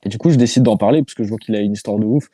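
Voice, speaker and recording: A man's voice: lowish voice, rapid-fire, warm tone, no room sound. A man from France, a YouTuber guest, answering interview questions over a video call in a hesitant, slangy way. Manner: hesitant, many fillers and restarts, loose slang.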